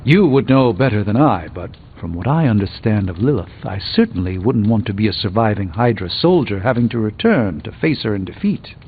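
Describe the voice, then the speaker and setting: An actor playing a proud, learned middle-aged sorcerer superhero in a video game, with formal, pompous and erudite phrasing. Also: male voice, lowish voice, animated, fairly quick, few pauses, phone-quality sound, a little hiss or hum. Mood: measured, assured.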